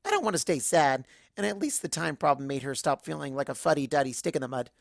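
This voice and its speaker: A woman voicing an excitable young female cartoon pony, bubbly and breathless, rambling through a first-person story. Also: low-pitched, fast talker, creaky, no echo, studio quality. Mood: sulky.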